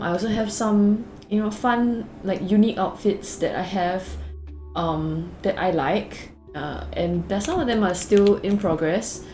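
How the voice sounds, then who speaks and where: A young woman with a Singaporean English accent talks her way through a cozy game tour in a low-pitched voice. Her delivery is loose and chatty, full of fillers and small asides. Faint music plays quietly behind her. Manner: chatty, rambling, many fillers and "like"s. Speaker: gaming video creator. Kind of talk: casual game tour narration.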